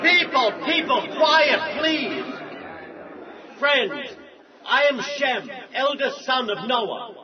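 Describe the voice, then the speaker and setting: Guy acting dutiful son addressing crowd in bible play, really high voice, far off and muffled. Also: lively intonation, slow pace, heavily acted. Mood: commanding. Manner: formal, announcing to a crowd. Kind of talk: biblical audio drama acting. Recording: distant muffled recording, crowd behind.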